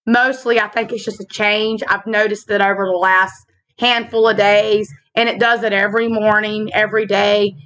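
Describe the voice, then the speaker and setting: A woman with a slightly raspy voice, hosting a casual faith vlog, talking earnestly with fillers and slow reflective stretches into a laptop mic. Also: medium pitch, little pitch movement, fairly bright tone, poor audio. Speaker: channel host. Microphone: laptop built-in mic.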